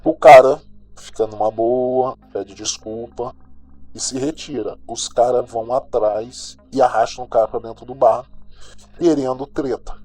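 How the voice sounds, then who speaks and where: A man's voice: mid-range voice, fairly even intonation, a little slow, warm tone, close to an everyday voice. A Brazilian man playing a cynical, gossipy small-town crime news host in an audio drama, talking in a slangy, sardonic, colloquial way. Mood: sardonic, animated. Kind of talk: audio drama monologue as chatty radio host. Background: music.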